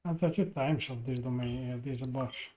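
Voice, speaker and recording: Halting male game streamer, noisy background. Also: mid-range voice. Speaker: live streamer. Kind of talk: casual gaming livestream commentary. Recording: clear background noise with clicks.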